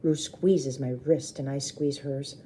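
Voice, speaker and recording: Woman, storyteller, low pitch, soft dark timbre, expressive children's book reading, explanatory asides. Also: a little slow, a little hiss or hum. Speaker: read-aloud storyteller.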